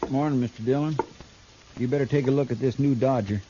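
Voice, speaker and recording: An actor playing a folksy, eager assistant to a marshal in a 1950s radio western. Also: male voice, medium pitch, steady tone, brisk, natural voice. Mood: earnest. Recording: dull, hissy 1950s radio recording.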